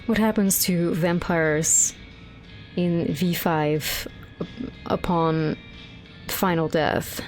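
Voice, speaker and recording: A woman's voice: lowish voice, steady tone, extremely slow, a little mellow, faint music behind. Swearing woman streamer. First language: Dutch. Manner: rambling, swearing, exasperated. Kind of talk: casual gameplay chat.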